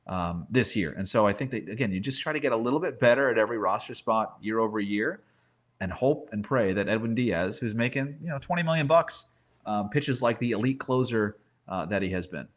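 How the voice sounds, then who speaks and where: A man's voice: fairly low pitch, lively intonation, fairly quick. A man giving casual sports analysis as a guest, thinking aloud and tossing in rhetorical questions.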